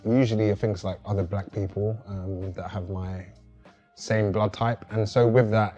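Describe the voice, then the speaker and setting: A man's voice: on the low side, dark tone, dull audio. A young man from South London, earnestly describing his experience in a casual interview. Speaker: regular blood donor. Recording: light music in the background.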